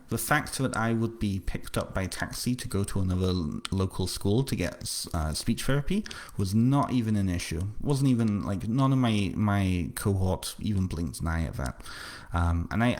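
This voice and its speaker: Author host, male, low register, faint North Welsh lilt, hesitant casual delivery. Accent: slight North Welsh. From North Wales. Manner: halting, repeats words, many fillers. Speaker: author hosting a book launch livestream. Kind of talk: casual live stream talk, answering comments.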